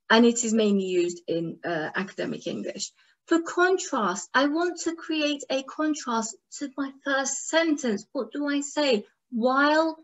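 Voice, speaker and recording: A woman whose first language is Turkish, lecturing in English at a workshop, pausing on fillers and asking herself rhetorical questions. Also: a little high, a bit bright, rough recording. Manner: measured teaching, frequent fillers and rhetorical questions. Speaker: academic English instructor.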